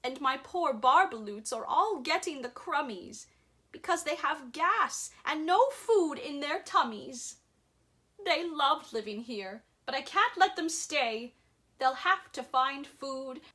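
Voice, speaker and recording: A woman's voice: high-pitched, lively intonation, slow, pushed and bright, pitched above the performer's own voice, heavily acted. A woman voicing an indignant old male forest creature who scolds emphatically in a theatrical storybook reading. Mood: pleading, indignant.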